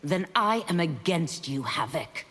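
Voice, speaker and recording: A woman's voice: deep voice, big pitch swings, measured pace, breathy edge, heavily acted. An actress playing a composed, righteous warrior woman in a fighting game, earnest and reproving. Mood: grave, reproving. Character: righteous, composed female warrior, morally firm. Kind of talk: fighting game character dialogue. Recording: reverberant hall, loud background noise.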